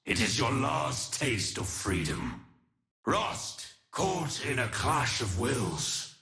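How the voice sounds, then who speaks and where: An actor playing a solemn, noble immortal fallen warrior in a fantasy game, declaiming his lines with deliberate gravity. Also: mid-range voice, very animated, gravelly, bright, forceful tone, reverberant, heavily acted. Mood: stern warning. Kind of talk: theatrical fantasy game voice acting.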